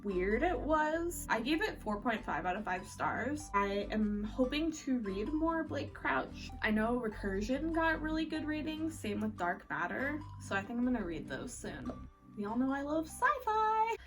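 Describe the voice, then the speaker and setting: A woman's voice: fairly high pitch, big pitch swings, unhurried. A woman chatting casually about what she has read as a book vlogger, informal and candid with slang and some swearing. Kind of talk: casual chatty monthly reading recap to camera. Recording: music playing behind the voice. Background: background music.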